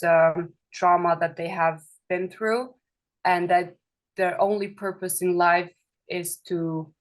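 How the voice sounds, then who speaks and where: A young woman from Kosovo, a film director, talking in an interview with many hesitations and restarts. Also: lowish voice. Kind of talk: festival interview.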